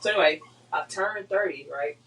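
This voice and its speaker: Animated man in his thirties, slightly distant. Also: high-pitched, a little fast, a little hiss or hum. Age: 30s. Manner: animated storyteller, laughing and teasing. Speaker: podcast co-host. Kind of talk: casual chat.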